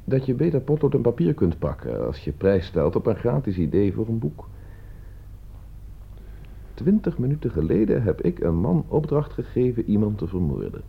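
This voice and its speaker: Cool, gravelly schemer in radio drama. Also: male voice, on the low side, very animated, heavily acted. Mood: cold, matter-of-fact. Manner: composed, deliberate, provocative. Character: cool, calculating man staging a deadly experiment. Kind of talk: radio play acting. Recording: dull, muffled old radio play recording.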